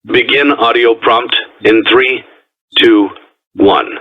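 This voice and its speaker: An actor playing a calm, ominous businessman in a video game, reading formal, measured instructions through an old tape filter. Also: mid-range voice. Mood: flat, procedural. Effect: old cassette tape, radio-like filter. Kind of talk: scripted instructional tape narration. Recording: tinny, phone-like old tape recording.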